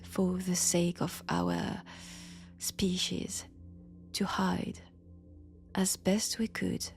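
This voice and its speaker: A woman voicing a seductive, menacing ancient vampire in an intimate audio roleplay, with a French accent and a breathy delivery that dips into whispers. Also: on the low side, darkish tone. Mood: grave, confiding.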